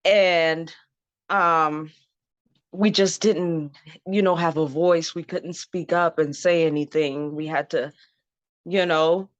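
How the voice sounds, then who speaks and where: Slow, low-voiced woman from Zimbabwe. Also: no echo. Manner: frank, laughs often, many ums and you-knows. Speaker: interview guest. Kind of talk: candid personal story, casual. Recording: dull lo-fi dry sound.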